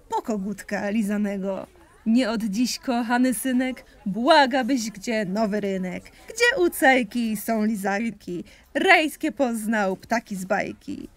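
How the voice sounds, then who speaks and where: A woman performing rhymed verse theatrically for an audio play, with playful, sing-song phrasing. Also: a little high.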